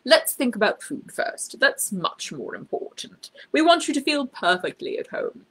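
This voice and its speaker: Storybook manager, male, imposing and oily, effusive delivery with big pitch swings and a slightly husky edge female reader. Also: big pitch swings, moderate pace, a bit bright, no echo, full character voice. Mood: obsequious. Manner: booming, unctuous, emphatic. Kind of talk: children's storybook read aloud.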